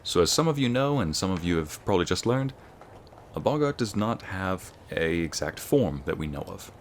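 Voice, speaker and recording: A man with an American accent playing an encouraging, scholarly wizard school professor in an ASMR roleplay, lecturing students in a measured, formal way. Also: low-pitched, very animated, slight background noise. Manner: measured, formal, teacherly, addressing students directly.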